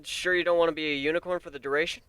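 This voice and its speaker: Man voicing a bright, caring, wry pony husband. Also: fairly high pitch, fairly even intonation, rapid-fire, clear, resonant tone, dry recording, natural voice. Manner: casual, gently wry, hesitant in places. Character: good-humoured, caring male pony husband, wryly teasing.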